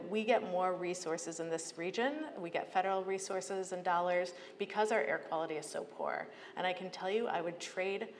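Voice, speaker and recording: An American woman giving an earnest, persuasive prepared speech as a public agency leader, with emphatic delivery. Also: fairly low pitch. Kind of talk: prepared advocacy speech to a conference. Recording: echoey hall, clear background noise.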